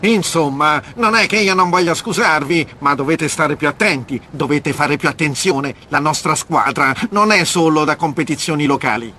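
A man's voice: on the high side, moderate pace, few pauses, background noise, close to an everyday voice. A man playing a haughty, touchy teenage team captain in an anime dub, pompous and indignant. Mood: condescending.

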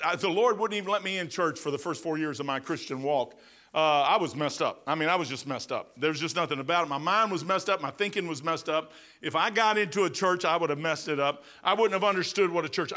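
A man's voice: fairly high pitch, pushed and bright. A middle-aged man preaching a sermon in a church sanctuary, talking in an emphatic, conversational way with repeats and restarts. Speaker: pastor. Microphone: pulpit microphone, far camera.

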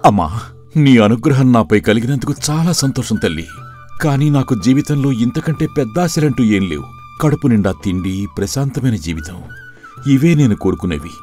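A man's voice: medium pitch, expressive, normal speed, mellow, close to an everyday voice. A man voicing a humble, contented and devout poor temple priest in a cartoon story, pleading and reasoning respectfully. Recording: music under the voice.

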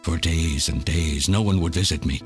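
Elderly villain scientist, rock-bottom pitch, obsessed, bitter, deliberate theatrical delivery, game cutscene, music behind. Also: male voice. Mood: lonely, obsessed. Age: older. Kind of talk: dramatic video game voice acting, monologue.

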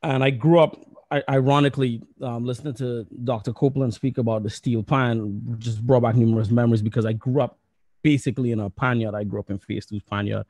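Mellow, dry-sounding man from Trinidad. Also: mid-range voice, steady tone, fairly quick, no room sound. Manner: earnest, fillers and repeated words. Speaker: musician and associate professor. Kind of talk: panel talk. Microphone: earphones on a video call.

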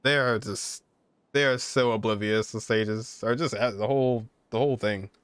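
A young man chatting casually and excitedly as a game streamer, exclaiming in slang as he reacts. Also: fairly low pitch, moderate pace, clear, resonant tone, no room sound. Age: young adult. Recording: game music behind. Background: video game music.

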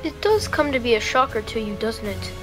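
A woman voicing a smug male robot villain who gloats about his return in a low, hushed way in a homemade toy stop-motion film. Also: sing-song, a little fast, over music. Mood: gloating.

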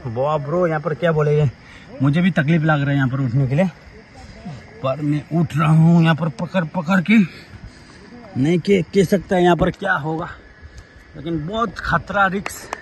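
A man's voice: a little high, normal speed, low-quality recording. A young man in his twenties chatting casually into his phone camera, narrating as he climbs a rocky hilltop outdoors. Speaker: vlogger.

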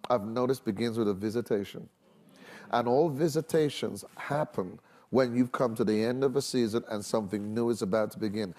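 A man's voice: medium pitch, mellow. A middle-aged man, a minister, teaching in an emphatic, explanatory way on a studio panel and repeating phrases for weight. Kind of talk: preaching-style panel talk on a religious TV show. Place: large TV studio set with audience.

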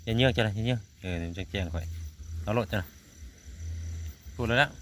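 A man's voice: on the low side, quick pace. A man with an Isan accent chatting casually and folksily to his viewers as he vlogs outdoors at night. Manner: folksy, repeatedly addresses viewers. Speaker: vlogger hunting frogs at night. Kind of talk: casual vlog chat to viewers. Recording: outdoor recording with faint insect noise.

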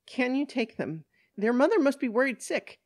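Reader voicing a frantic, high-pitched cornered woman. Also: big pitch swings, slow, warm tone, heavily acted. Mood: pleading. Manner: pleading, exasperated, protesting. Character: frantic, cornered single woman protesting to police.

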